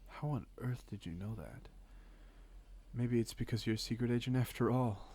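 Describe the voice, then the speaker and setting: A man playing a suave, teasing secret agent in an audio roleplay, speaking with wry politeness and calm menace in a creaky voice. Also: mid-range voice, close to an everyday voice. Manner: polite, wry, unhurried conversational patter with pointed pauses. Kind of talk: scripted audio roleplay, intimate one-sided dialogue.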